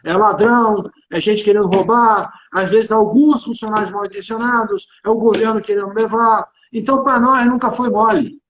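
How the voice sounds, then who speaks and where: A man with a high voice and a Carioca accent talks business on a livestream. He is emphatic, colloquial and opinionated, doubling back on phrases. The sound is echoey and dull. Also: steady tone, moderate pace, hardly any pauses, fairly bright tone. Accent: Carioca Brazilian Portuguese. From Rio de Janeiro, Brazil. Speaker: tax consultant and executive speaking on a livestream. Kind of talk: informal live business discussion. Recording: echoey room, dull band-limited livestream audio.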